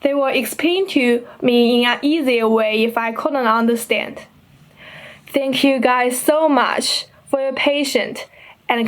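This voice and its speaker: A young woman from China with a Chinese accent, an international student giving an earnest, carefully paced assembly speech in her second language. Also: high voice. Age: young adult. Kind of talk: prepared school assembly speech.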